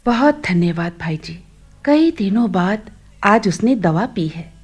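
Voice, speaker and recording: An actress playing a caring middle-aged mother in a radio play, gentle, polite and solicitous. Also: mid-range voice, lively intonation, takes it slowly, slightly rough, soft background music.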